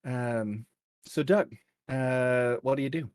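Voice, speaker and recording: A man with a lowish voice leads a tabletop fantasy game as game master. He narrates slowly and haltingly, improvising as he goes, and the sound is dry and close. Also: darkish tone, clean tone, no echo.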